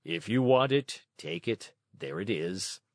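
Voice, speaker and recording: A man's voice: lowish voice, animated, slow pace, dry recording, clean studio sound. A man voicing a plain-spoken, kindly old man in a children's storybook. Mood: matter-of-fact.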